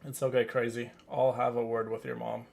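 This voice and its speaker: Chatty gravelly-voiced man streaming. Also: medium pitch, level tone, brisk. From Canada. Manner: rambling, joking, chatty. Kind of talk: casual livestream chat over gameplay.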